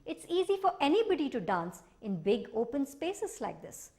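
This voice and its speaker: A woman's voice: high-pitched, big pitch swings, moderate pace, warm tone, pitched above the performer's own voice. A woman voicing a sly, cunning farmer in a folk tale, teasing and mock-doubtful.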